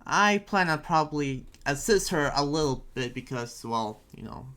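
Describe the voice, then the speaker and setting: A man's voice: a little high, lively intonation, moderate pace, sharp tone. Man playing a rambling, earnest young town preacher. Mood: explaining, conversational. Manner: rambling, explanatory, hesitant phrasing. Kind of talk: improvised anime Minecraft roleplay. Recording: background music behind the voice.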